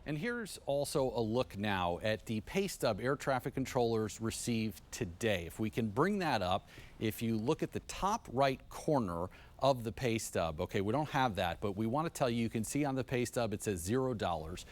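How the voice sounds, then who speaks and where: A man's voice: medium pitch, expressive, normal speed. Polished American male news anchor. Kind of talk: TV news presenting.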